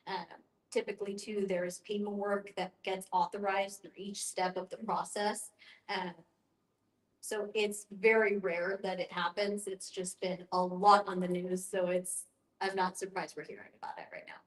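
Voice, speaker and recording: A woman with a mid-pitched voice leads an informal discussion. She explains things in a reassuring, conversational way. The room around her is audibly echoey. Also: little pitch movement, normal speed. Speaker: guest facilitator and end-of-life educator.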